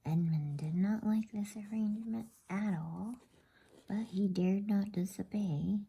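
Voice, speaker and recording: A woman narrates a bedtime story in an ASMR whisper. Her voice is very husky and breathy, with a mellow, relaxed tone and an unhurried pace. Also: medium pitch.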